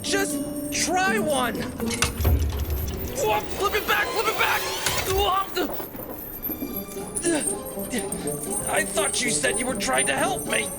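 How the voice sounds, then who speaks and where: Woman doing a cocky fox adventurer, wry banter, gets flustered, swoopy delivery, loud music under it. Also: very high-pitched, animated, slow pace, vocal fry, heavily acted. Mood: panicked. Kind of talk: audio drama cartoon-like acting.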